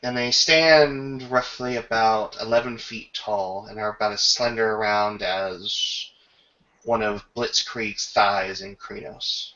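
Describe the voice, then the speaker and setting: A man acting as game master, narrating a tabletop roleplaying scene and its rules in halting, improvised phrases over a lo-fi voice chat. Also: on the low side, steady tone, pushed and bright. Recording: dull lo-fi voice chat.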